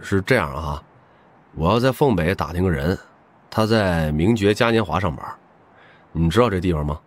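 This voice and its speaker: Man playing a cheeky lowish-voiced young buddy, animated. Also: normal speed. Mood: coaxing. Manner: playful, wheedling. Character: cheeky, eager, teasing young male buddy. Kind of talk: audiobook drama acting.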